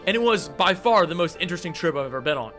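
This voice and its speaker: A man reading scripted narration for a video essay in a measured, explanatory style. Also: on the high side, expressive, music in the background.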